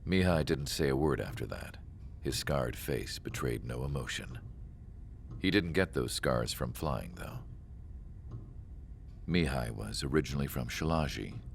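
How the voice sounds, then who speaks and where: Reflective research scientist, very deep gravelly voice, measured game cutscene narration, solemn. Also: male voice, animated, a little hiss or hum, full character voice. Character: solemn older male research scientist recalling an ace pilot. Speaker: story narrator. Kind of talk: scripted dramatic cutscene narration.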